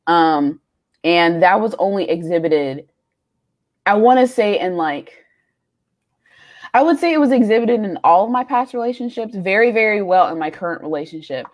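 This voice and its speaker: A young woman in her twenties co-hosting a faith podcast, telling personal stories candidly with frequent pauses and ums. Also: mid-range voice, expressive. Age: young adult. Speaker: podcast co-host. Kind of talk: casual chat.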